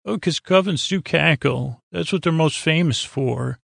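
A man with a gravelly voice hosting a bedtime podcast, meandering haltingly and stumbling over his words as he wanders off on tangents. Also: fairly high pitch, no room sound, clean studio sound. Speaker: podcast host. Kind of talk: rambling bedtime podcast monologue.

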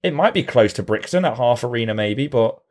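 A man with an ordinary mid-range voice who talks through details at length. He explains patiently and drops in deadpan jokes along the way. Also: mid-range voice, brisk. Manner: knowledgeable, explains at length, dry humour. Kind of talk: casual podcast chat.

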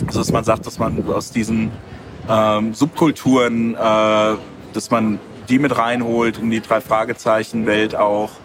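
A man's voice: lowish voice, flat delivery, measured pace, background noise. A man being interviewed as a comic creator, talking casually and thinking aloud in loose, rambling chains of clauses.

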